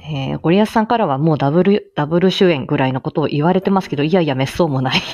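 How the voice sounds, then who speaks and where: A woman's voice: low voice, very fast, darkish tone, dull audio, soft background music. A woman hosting a casual solo livestream chat, rambling along in a self-effacing, giggly manner. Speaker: livestream host, speech-language therapist.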